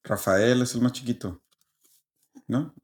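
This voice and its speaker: A man with a Mexican accent talks like a podcast co-host among friends. His pitch sits in an ordinary middle range, and he keeps things loose, joking and teasing. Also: takes it slowly. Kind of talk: casual chat.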